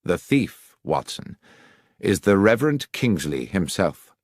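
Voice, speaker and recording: A man's voice: low voice, big pitch swings, slow pace, no room sound, full character voice. A man voicing a confident, theatrical detective in an audiobook, with a precise, declamatory and assured delivery. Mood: dramatic. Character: confident, theatrical male detective explaining his deductions.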